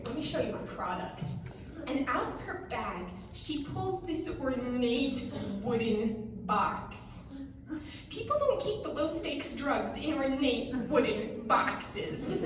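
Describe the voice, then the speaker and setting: Young woman from Ukraine, stand-up comic; high, lively voice, unhurried deadpan, echoey club mic heard from far off. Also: a little hiss or hum. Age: young adult. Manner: deadpan, wry delivery with pauses for laughs. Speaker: stand-up comedian. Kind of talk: stand-up comedy set. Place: comedy club stage. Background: audience laughter.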